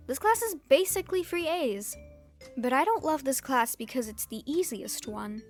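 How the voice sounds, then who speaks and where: Teen girl, high voice, scripted vlog, sarcastic, comic outbursts, faint music behind. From Turkey. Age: teens. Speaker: YouTuber.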